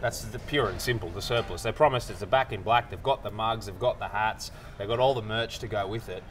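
A man's voice: fairly low pitch, a little fast, slight background noise. A man with an Australian accent giving blunt, confident political opinions on a casual panel. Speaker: political commentator. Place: indoor bar-restaurant, seated at a small table.

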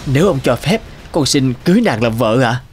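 An actor playing a poor, humble young suitor in an animated folk tale, respectful and earnest. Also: male voice, mid-range voice, a little fast, a little hiss or hum, lightly acted. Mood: humble, pleading. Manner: respectful, pleading, gentle.

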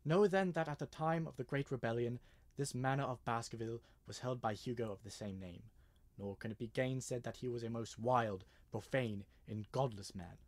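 A man acts out an earnest, uneasy gentleman in a 1930s-style radio drama. He speaks formally at a middle pitch, with careful, old-fashioned delivery. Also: normal speed, lightly acted. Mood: solemn reading. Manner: hesitant, formal. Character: nervous, earnest gentleman client reading an old legend. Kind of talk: 1930s radio mystery acting.

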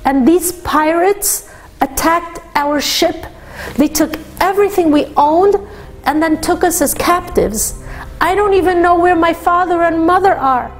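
A woman's voice: very high-pitched, measured pace, soft background music, voice pushed up. A woman voicing a frightened twelve-year-old girl held captive, pleading and tearful.

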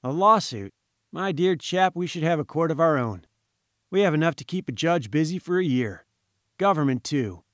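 A man's voice: a little high, expressive, normal speed, a bit bright, no echo, clean studio sound. A man voicing a genial, wry journalist in a storybook, friendly but firm, with breezy old-chap slang. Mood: amused, breezy.

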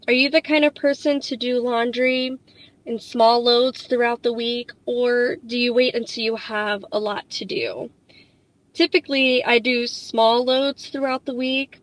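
A woman's voice: a little high, rough recording. A woman in her thirties narrating a home cleaning vlog in a chatty, upbeat way, talking straight to her viewers. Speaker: cleaning vlogger. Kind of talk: voice-over narration.

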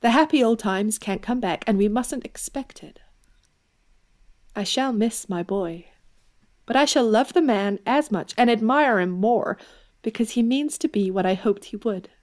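An actress playing a spirited, blunt, affectionate young tomboy in an audio drama, exclamatory and teasing. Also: female voice, fairly high pitch, measured pace, darkish tone, lightly acted. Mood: earnest, affectionate.

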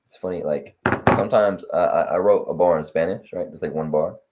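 Young man from LA, very low and mellow voice, loose rambling chat over a headset call. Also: fairly quick, slight background noise. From Los Angeles. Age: young adult. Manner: relaxed, rambling with many fillers. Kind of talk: casual chat. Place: home room on video call. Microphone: headset on video call.

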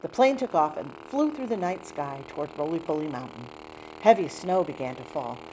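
A woman reading a children's picture book aloud in an animated storytelling style. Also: deep voice, normal speed, background noise. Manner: animated, acting out dialogue. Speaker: storybook reader.

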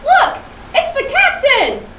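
A woman's voice: very high voice, huge pitch swings, slow pace, a bit bright, exaggerated voice. A woman voicing an enthusiastic, urgent young puppet sailor in a children's puppet show. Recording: roomy echo, faint background noise.

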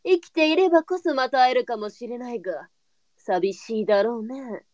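A woman's voice: on the high side, moderate pace, a little mellow, no room sound, studio quality, close to an everyday voice. A woman playing a pragmatic, brusque brothel mistress in a live period voice drama, with a blunt and knowing way of talking. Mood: grave. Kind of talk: online live voice drama acting.

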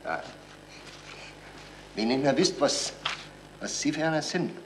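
A man's voice: mid-range voice, expressive. An actor playing a shrewd, dry policeman who needles people, in a radio crime drama with a Bavarian accent. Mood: dry, needling. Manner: dry, unhurried, wry. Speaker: police officer. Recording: noisy 1970s radio recording.

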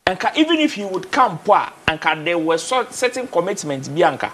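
High-voiced Ghanaian man, animated talk. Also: fairly quick, a little hiss or hum. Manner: animated, gestures, mixes Twi and English, repeats words. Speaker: studio guest. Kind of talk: casual TV studio discussion. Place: TV studio, indoors.

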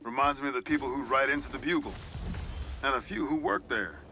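A man's voice: medium pitch, fairly quick, sharp tone, faint music behind, natural voice. An actor playing a genial middle-aged New York newspaperman with an American accent, telling nostalgic, reflective stories in a video game. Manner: storytelling, reflective. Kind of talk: game voice acting, conversational monologue.

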